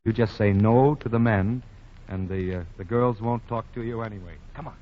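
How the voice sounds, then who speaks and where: A man's voice: lowish voice, normal speed, natural voice. An actor playing a flustered, impatient wealthy young man who bluffs and then turns boyishly shy, in a 1940s radio play. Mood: tender. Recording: muffled 1940 radio broadcast.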